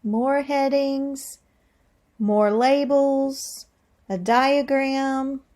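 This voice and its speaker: A woman teacher reading aloud to young children in a deliberate, expressive way, thinking aloud and putting questions to her listeners. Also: high voice.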